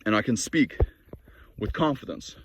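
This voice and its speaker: A man's voice: on the low side, lively intonation, normal speed, very noisy. A man whose native languages are Norwegian and Polish, giving a blunt, preachy motivational monologue to camera with frequent restarts. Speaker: fitness and self-improvement vlogger. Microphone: camera mic.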